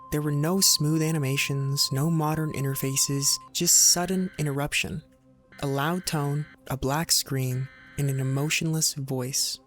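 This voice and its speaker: A man with a slightly high voice delivers scripted documentary narration. He explains in a measured way, setting off short phrases for dramatic weight. Music plays softly behind him. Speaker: video narrator. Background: background music.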